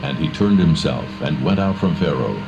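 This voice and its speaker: Very low-voiced man narrating scripture solemnly, slightly muffled, music behind. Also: animated, normal speed, creaky. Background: prominent background music.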